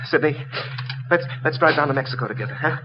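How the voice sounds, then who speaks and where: An actor playing a jumpy, desperate thief, agitated and repeating himself, in an old-time radio crime drama. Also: male voice, fairly high pitch, fast, close to an everyday voice. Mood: frightened pleading. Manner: agitated, pleading, repeats words. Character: jumpy, desperate male thief, pleading and defensive. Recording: muffled old radio broadcast recording.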